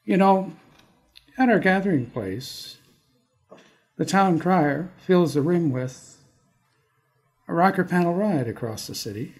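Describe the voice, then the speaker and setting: A Canadian man speaking as a guest poet on an arts podcast in a deliberate, halting manner. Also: high-pitched, animated. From Toronto area, Canada. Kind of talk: casual self-introduction and slow poetry reading. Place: studio.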